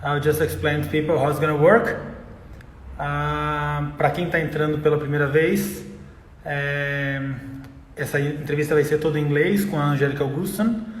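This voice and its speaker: A man's voice: on the high side, fairly even intonation, normal speed, slight background noise. Ask for a Brazilian man interviewing in an echoey room. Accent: Brazilian Portuguese. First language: Portuguese. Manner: hesitant, many fillers and restarts. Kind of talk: live online interview.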